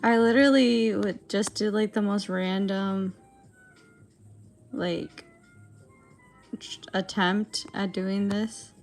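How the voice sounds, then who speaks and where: A young woman with a gravelly, raspy voice, her throat dry and strained. She chats casually and rambles with plenty of fillers while working on a drawing, and faint music plays behind her. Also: medium pitch, fairly even intonation, takes it slowly, pauses often. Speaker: digital artist streamer.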